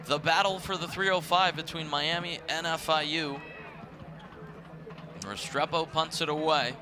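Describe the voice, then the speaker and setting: Male sports play-by-play caller, fairly high pitch, over noisy stadium ambience. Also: steady tone, normal speed. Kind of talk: live sports commentary. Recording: noisy live stadium broadcast.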